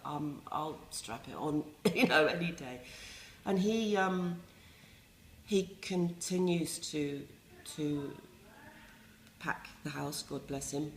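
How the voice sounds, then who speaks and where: Wry, low-voiced English woman. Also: roomy sound, slight background noise, low-quality recording. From England. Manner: wry, self-mocking, with many ums and restarts. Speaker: resident playwright. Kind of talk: reflective interview talk.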